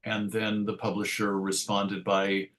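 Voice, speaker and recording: A man's voice: lowish voice, completely flat delivery, unhurried, a bit bright. A middle-aged man giving a casual interview, telling anecdotes in a chatty, wry storytelling way. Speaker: interview guest, comics writer and editor.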